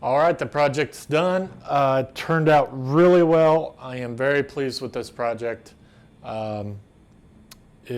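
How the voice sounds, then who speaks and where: A middle-aged man with an American accent, casually explaining a do-it-yourself project in a plainspoken, unscripted way. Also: mid-range voice. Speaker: woodworking video host.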